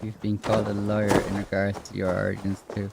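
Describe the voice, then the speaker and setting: A man's voice: fairly low pitch, completely flat delivery, a little fast, creaky, loud music behind the voice. A man from Ireland with an Irish accent, streaming a game and chatting casually with viewers in a rambling way, full of fillers. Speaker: gaming streamer. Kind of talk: casual gameplay chat with viewers. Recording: noisy gameplay audio with horse sounds.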